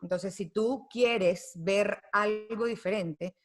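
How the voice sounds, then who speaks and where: A woman's voice: medium pitch, slow pace. A woman guest on a podcast, speaking thoughtfully with many fillers and tag questions. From United States. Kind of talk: reflective conversational interview.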